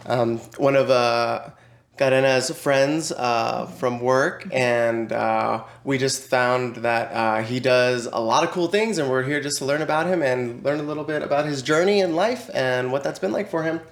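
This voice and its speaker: A man's voice: mid-range voice, moderate pace, roomy sound. A man hosting a podcast, an upbeat and eager interviewer. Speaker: podcast host. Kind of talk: casual interview chat.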